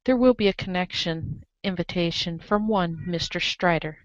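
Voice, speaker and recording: A woman's voice: on the low side, moderate pace, no echo. Woman narrating, dry and muffled recording. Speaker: narrator in a group reading. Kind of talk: live script reading, scripted narration. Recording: dull lo-fi audio.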